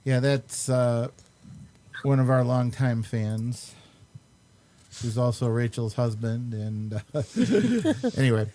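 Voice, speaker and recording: A man's voice: medium pitch, animated, slow, pauses often, dark tone. A man hosting a live online show, chatting casually, laughing often and using many fillers, over a computer mic on a video call. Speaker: host.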